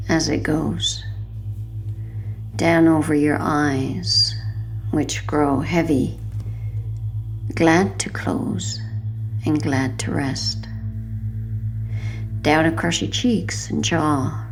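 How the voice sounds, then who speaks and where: Slow, low-pitched woman guiding sleep hypnosis. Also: creaky, slight background noise. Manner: soothing, hushed, unhurried with long pauses. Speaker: hypnosis audio guide. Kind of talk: guided sleep hypnosis narration.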